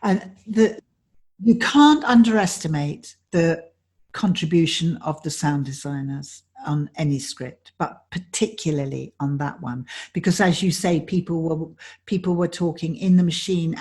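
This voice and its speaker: Slightly husky, low-pitched woman in conversation. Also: a little slow, darkish tone. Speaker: interview guest, actor and director. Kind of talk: reflective interview chat.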